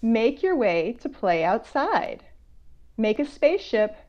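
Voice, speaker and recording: A woman author reading a picture book aloud to children, going between slow measured reading and conversational explanatory asides. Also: on the high side, very animated, a little mellow. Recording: dull, lo-fi online call audio.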